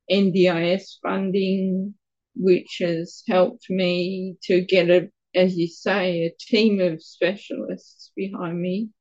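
A woman speaks at a very unhurried pace, her voice quiet and gravelly. She halts and restarts now and then as she answers. The sound is dull and lo-fi. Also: fairly low pitch. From Australia. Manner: halting, stumbles a little over words. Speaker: guest with Parkinson's. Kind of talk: casual interview answers.

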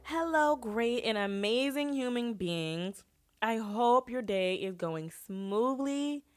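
A woman's voice: on the high side, animated, takes it slowly. A young woman hosting her own podcast, talking casually and earnestly to listeners while congested and sniffly from a cold. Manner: earnest, asks listeners direct questions. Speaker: podcast host. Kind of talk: casual solo podcast advice talk.

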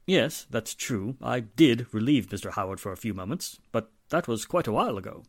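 An actor playing a middle-aged, polite and hesitant wealthy father with a measured manner in a 1940s-style radio drama. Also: medium pitch, expressive, gravelly, dry recording, studio quality. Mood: hesitant, insinuating.

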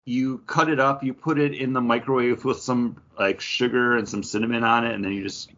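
A man's voice: medium pitch, fairly even intonation, fairly quick, fairly bright tone, dull audio. A man hosting a podcast, chatting casually with the group. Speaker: podcast host.

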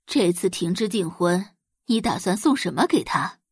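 A woman's voice: fairly low pitch, lively intonation, normal speed, breathy edge, dry recording, studio quality. A woman voicing a cross, nagging wife in an audio drama. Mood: probing. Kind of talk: Chinese audio drama acting.

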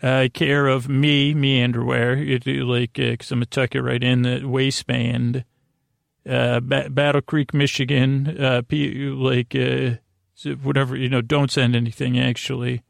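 A man hosting a bedtime podcast in a creaky, lulling voice, meandering haltingly and interrupting himself through a silly monologue. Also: medium pitch, flat delivery, no echo, studio quality. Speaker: host of a bedtime sleep podcast. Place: small closet, close and dry.

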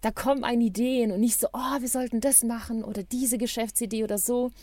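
A woman's voice: fairly high pitch, steady tone, quick pace, dark tone, no echo. Enthusiastic woman, German not her first language. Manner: enthusiastic, reflective. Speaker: podcast co-host. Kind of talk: casual podcast chat.

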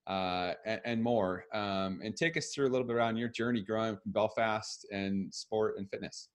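A man's voice: lowish voice, normal speed. A man hosting a fitness business podcast, upbeat and enthusiastic in his scripted intro and interview questions. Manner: upbeat, enthusiastic promotional delivery. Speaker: podcast host.

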